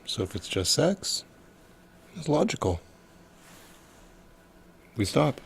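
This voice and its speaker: An actor playing a hesitant, tender younger man in a secret affair, with an American accent and halting, intimate delivery in an audio drama. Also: mid-range voice, sing-song, creaky, husky, heavily acted. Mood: resigned, awkward. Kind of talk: naturalistic noir audio drama dialogue.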